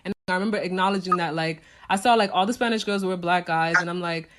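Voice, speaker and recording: A woman hosting a podcast, talking casually with frequent fillers and quick agreements. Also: lowish voice, slight background noise. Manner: relaxed, frequent likes, quick affirmations. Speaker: podcast host. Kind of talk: casual conversation.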